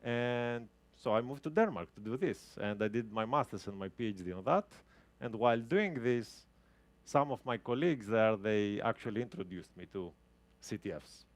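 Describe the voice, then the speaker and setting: Man, 30s, lowish voice, casual live tech talk, keeps restarting sentences. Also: lively intonation, normal speed, dry recording. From Greece. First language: Greek. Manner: casual, explanatory, with frequent restarts. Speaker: guest speaker. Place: talk venue with a live audience.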